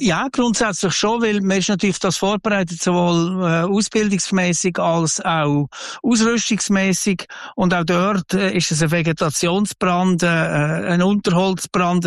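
A man in his sixties with a Swiss accent from the Basel area, a fire inspector answering interview questions calmly, with some hesitations. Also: high voice, no room sound, clean studio sound. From northwest Switzerland. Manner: calm, measured, reassuring, with frequent hesitations.

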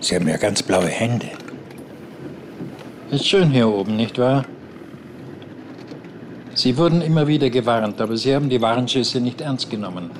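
A man's voice: mid-range voice, expressive, moderate pace, pauses often, a little hiss or hum, natural voice. A man playing a smug, stern enforcement official. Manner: dry, interrogating, condescending. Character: stern, smug male nature-reserve enforcer. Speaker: official fining a hiker.

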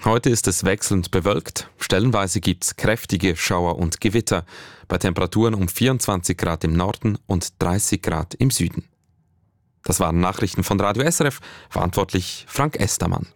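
A man reading the radio weather and news sign-off in a brisk, formal way. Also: low-pitched, no room sound, studio quality. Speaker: newsreader.

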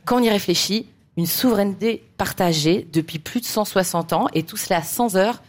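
A woman's voice: medium pitch, normal speed. A woman panelist giving a comic bluffing answer on a quiz show, playful and teasing. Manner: playful, jokey, teasing asides.

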